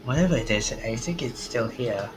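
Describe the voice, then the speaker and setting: A man's voice: mid-range voice, lively intonation, normal speed. A man reading visual novel dialogue aloud in a theatrical way as he streams a game. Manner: acts out the lines theatrically. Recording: game music behind the voice. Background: video game music.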